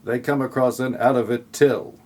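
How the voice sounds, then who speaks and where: A man's voice: mid-range voice, steady tone, moderate pace, darkish tone. A man reading scripted comic narration for an audio play in a wry, flippant way.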